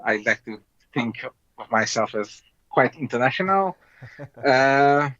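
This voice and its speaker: A man's voice: medium pitch, expressive, unhurried, fairly bright tone, dry recording. A man in his thirties from Hungary with a Hungarian accent, chatting casually as an interview guest. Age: 30s. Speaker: guest, board game designer. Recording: dull, lo-fi online call audio.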